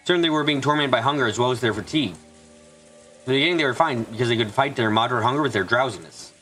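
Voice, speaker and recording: A man's voice: mid-range voice, rapid-fire, bright, forceful tone, soft background music, rough recording. A man reading translated visual novel narration and dialogue aloud as an amateur fan-dub reader.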